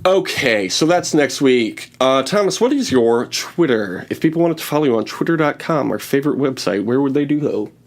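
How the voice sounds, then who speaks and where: Male podcast host, thirties, medium pitch, jokey and self-mocking, casual back-and-forth banter. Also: lively intonation, normal speed, few pauses.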